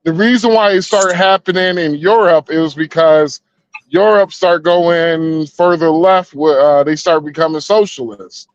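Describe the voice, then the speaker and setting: A man's voice: fairly high pitch, a little slow, lo-fi sound. A man in his late thirties, co-hosting a heated casual debate, who rambles and swears and keeps restarting his sentences. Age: 30s. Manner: rambling, profane, stops and restarts a lot. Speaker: podcast co-host.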